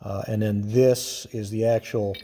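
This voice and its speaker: A man giving an informal, hands-on explanation of machinery in a halting, methodical way, as host of a workshop video. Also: on the low side, steady tone, warm tone. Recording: reverberant workshop with clear background noise.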